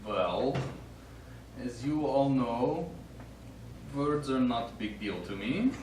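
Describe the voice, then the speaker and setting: An actor playing a proud, teasing Russian astronaut in a stage play, speaking with a Russian accent in clipped phrasing. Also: male voice, mid-range voice, lively intonation, slow pace, darkish tone. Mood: proud, earnest. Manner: clipped phrasing with dropped articles. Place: small studio theatre, recorded from afar. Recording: roomy, noisy, distant stage recording.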